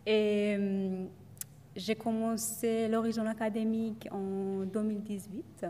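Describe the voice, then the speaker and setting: Young woman, second-language speaker, halting testimonial talk, medium pitch, reverberant hall. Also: little pitch movement, warm tone, a little hiss or hum. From Iran. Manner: hesitant, many fillers and restarts. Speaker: student speaker.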